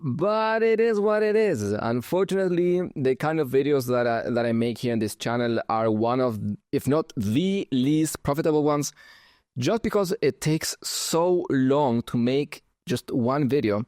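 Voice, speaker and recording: A man's voice: medium pitch, lively intonation, measured pace, darkish tone, dry recording, studio quality. A man with a German and Spanish accent, born in Germany and raised in Chile, hosting his channel with wry, conversational commentary. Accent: mix of German and Spanish. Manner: wry, conversational, occasional chuckles. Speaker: channel host. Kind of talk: casual commentary reading comments.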